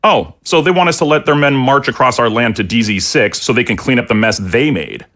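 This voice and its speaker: A man's voice: medium pitch, a little fast, fairly bright tone, close to an everyday voice. A man playing a suspicious, hawkish army general in an audio drama, sardonic and emphatic. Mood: sardonic, incredulous.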